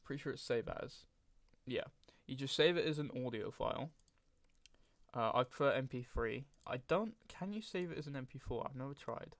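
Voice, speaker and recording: A man narrating a casual software tutorial, speaking informally and hesitantly as he thinks aloud. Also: mid-range voice, lively intonation, normal speed, no room sound. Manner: frequent throat clearing. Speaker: tutorial host.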